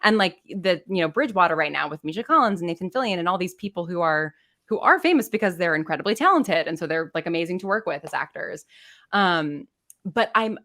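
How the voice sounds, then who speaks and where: A woman speaks in a lowish voice, talking casually as a guest on a video call. She chats freely and leans on "like" fillers as she goes. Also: expressive, fast, a bit bright. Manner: chatty, frequent "like" fillers. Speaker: guest, audio drama writer. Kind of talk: casual conversation.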